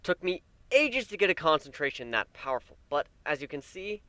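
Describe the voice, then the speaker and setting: A man voicing a gentle, wry male pegasus pony, a devoted husband, speaking softly and soothingly with light teasing in a story reading. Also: on the high side, big pitch swings, a little fast, no room sound, voice pushed up. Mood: wry, amused.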